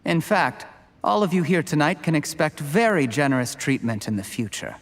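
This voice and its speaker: A man's voice: on the high side, big pitch swings, moderate pace. A man voicing an ambitious, cornered young schemer in a video game, speaking in an earnest, strained, self-justifying way. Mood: pleading, defensive. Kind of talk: scripted game voice acting. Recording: long echoing reverb.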